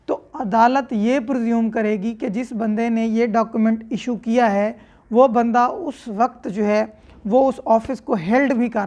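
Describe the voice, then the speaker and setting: Pakistani lady teaching a class, kinda high voice, reads stuff out then explains it again step by step. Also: warm tone. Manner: didactic, repeats and rephrases points. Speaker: law lecturer. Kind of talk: explanatory lecture, reading statute clauses aloud then explaining them.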